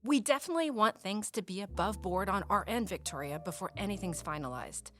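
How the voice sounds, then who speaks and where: A woman's voice: mid-range voice, expressive, brisk, fairly bright tone. A woman acting in an audio drama as a cautious wife, tentative and polite as she resists pressure. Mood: wavering.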